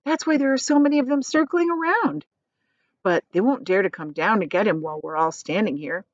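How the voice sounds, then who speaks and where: A woman voicing a calm, determined young boy in a children's storybook, explaining things earnestly and confidently. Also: medium pitch, a little fast, no room sound, dull audio, natural voice. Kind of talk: children's storybook character reading.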